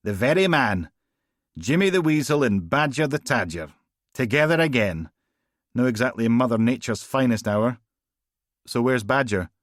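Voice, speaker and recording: A man's voice: medium pitch, lively intonation, moderate pace, pauses often, no room sound, studio quality, pitched above the performer's own voice, natural voice. A man voicing a sarcastic, bossy middle-aged female detective.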